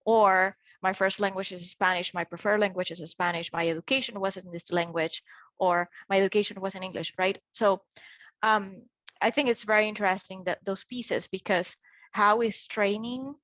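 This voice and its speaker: A woman moderating a panel discussion over a video call, speaking thoughtfully with frequent pauses. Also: medium pitch, fairly bright tone, no echo, lo-fi sound. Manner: thoughtful, hesitant with fillers.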